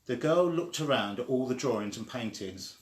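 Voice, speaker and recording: A man reading a children's story aloud in a deliberate, simply phrased way. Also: mid-range voice, animated. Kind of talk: slow read-aloud storytelling. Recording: echoey room.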